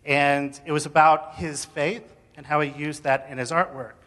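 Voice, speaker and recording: Male testimony speaker, bright forward voice, informal and halting delivery, reverberant hall. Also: a little high, steady tone. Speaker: speaker sharing faith story. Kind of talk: personal testimony told to an audience.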